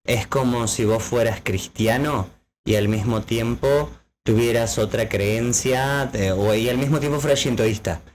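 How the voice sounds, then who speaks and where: A man's voice: medium pitch, measured pace, slight background noise. A man co-hosting a casual podcast, explaining at length with many fillers. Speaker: podcast co-host.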